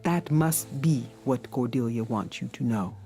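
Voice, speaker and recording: An actress playing a wise, gentle older woman mentor in a video game, with measured, soft, solemn delivery. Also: rumbling low, soft background music. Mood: grave, explanatory. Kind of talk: dramatic video game acting.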